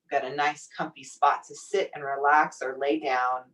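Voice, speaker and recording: A woman leading a guided meditation in a soothing, gently encouraging, unhurried manner. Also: sits low, steady tone. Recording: distant microphone pickup.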